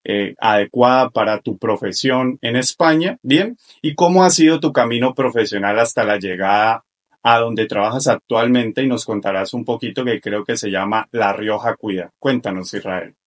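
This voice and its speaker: A man's voice: fairly low pitch, moderate pace. Man hosting a podcast. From Colombia. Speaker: podcast host and paramedic. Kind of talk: podcast introduction and interview.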